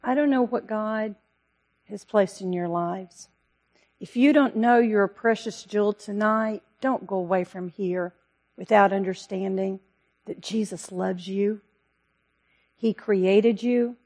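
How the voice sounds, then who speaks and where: A woman missionary giving an earnest, pleading talk to a church congregation, with a loud voice. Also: mid-range voice, steady tone, slow, gravelly, long pauses. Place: church service.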